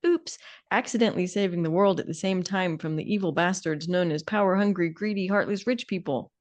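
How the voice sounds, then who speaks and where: A woman's voice: lowish voice, brisk, highs cut off. A woman co-hosting a podcast, talking casually and informally with a sardonic, opinionated edge. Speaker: podcast co-host. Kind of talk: casual conversational discussion.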